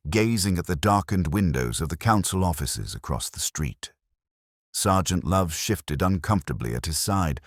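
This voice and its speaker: A man narrating a scripted mystery story in a measured, dryly wry manner. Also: extremely low pitch, no echo, studio quality.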